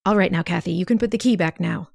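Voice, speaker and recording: A woman acting a sly, genteel, scheming sister in a radio drama, speaking in a deliberate, confiding way. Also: fairly low pitch, gravelly, no echo, clean studio sound. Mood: sly, controlled. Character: sly, scheming sister feigning frail worry.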